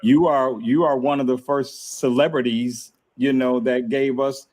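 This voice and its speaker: A man's voice: mid-range voice, steady tone, unhurried, darkish tone. A man hosting a casual podcast, talking in a laid-back, grateful way and laughing often. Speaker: podcast host. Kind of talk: casual chat.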